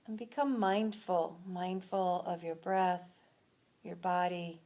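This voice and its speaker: A woman leading a guided relaxation as an instructor, speaking in a soothing, unhurried manner with long pauses between phrases. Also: on the low side, steady tone, mellow.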